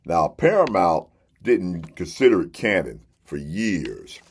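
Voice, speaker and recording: A man's voice: low voice, sing-song, slow, breathy edge. A man hosting a casual, unscripted review to camera, talking in a conversational way and repeating phrases for emphasis. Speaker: host reviewing shows and collectible box sets.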